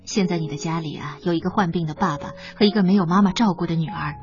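An actress playing a composed, assertive ex-wife in a radio drama, pointed and probing in manner. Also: female voice, fairly low pitch, quick pace, a little mellow, lightly acted. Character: assertive ex-wife returned from abroad, pointedly solicitous. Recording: dull lo-fi, music behind.

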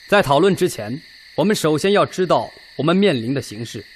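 A man acting a serious Red Army commissar in a radio drama. His slightly high voice is methodical and grave, with faint night ambience behind it. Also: animated, a little fast, a little hiss or hum, lightly acted. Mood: grave, earnest. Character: earnest Red Army political commissar analysing the situation.

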